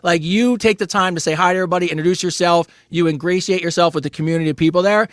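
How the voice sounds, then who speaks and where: A man's voice: high voice, steady tone, very fast, no echo, studio quality. A man co-hosting a podcast in casual chat, enthusiastically telling stories and praising his partner. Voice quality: enthusiastic storyteller, hypes his co-host. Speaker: podcast co-host.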